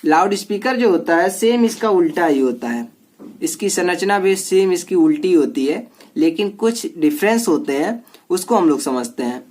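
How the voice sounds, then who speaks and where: Male teacher, fairly high voice, informal explanatory delivery, repeats points and checks in often. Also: lively intonation, moderate pace, darkish tone. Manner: repetitive step-by-step explaining with frequent check-in tags. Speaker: electronics teacher.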